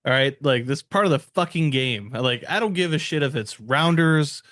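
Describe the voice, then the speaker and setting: A man's voice: a little high, lively intonation, moderate pace, fairly bright tone, no room sound, clean studio sound. A man co-hosting a podcast in casual chat, blunt and opinionated, swearing freely. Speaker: podcast co-host.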